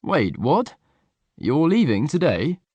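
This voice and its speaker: A man voicing a cheerful, eager young male friend, lifting his voice well above his narrating pitch. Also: huge pitch swings, slow pace, slightly rough, no room sound, lo-fi sound, exaggerated voice. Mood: friendly. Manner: bright, eager.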